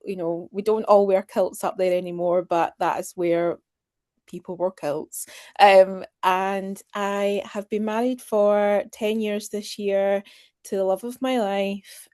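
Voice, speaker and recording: A woman's voice: medium pitch, dark tone, smooth, no room sound, clean studio sound. A Scottish woman in her thirties, chatting casually as an interview guest. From Scotland.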